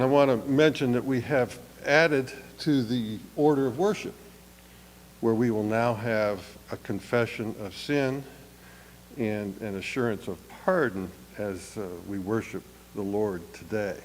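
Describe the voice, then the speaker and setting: A man serving as a church elder, raspy from a head cold, welcoming the congregation in a folksy, plain-spoken way. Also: medium pitch, darkish tone. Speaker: church elder leading worship. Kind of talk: welcome and call to worship. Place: church sanctuary. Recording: long hall reverb, faint noise.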